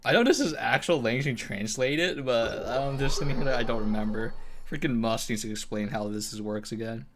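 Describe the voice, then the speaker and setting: A man's voice: medium pitch, a little fast, crowd noise. Rambling, sweary male game streamer. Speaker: live streamer. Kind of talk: casual game commentary.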